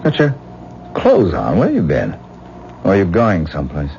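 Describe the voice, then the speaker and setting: A man playing a drowsy, nonchalant husband in a 1970s radio drama, with a slow, groggy, gravelly voice. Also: low voice, huge pitch swings, a little mellow, exaggerated voice. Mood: groggy, casual. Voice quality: gravelly, sleepy. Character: drowsy, unbothered husband, an eccentric actor. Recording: dull, noisy 1970s radio broadcast recording.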